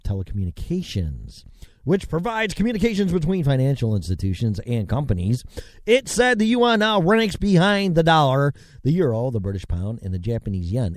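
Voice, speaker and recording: Sarcastic ranting man with a lively voice. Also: a little high, no room sound, studio quality. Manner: sarcastic, rambling, swings between mutters and outbursts. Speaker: show host. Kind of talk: ranting commentary and news reading.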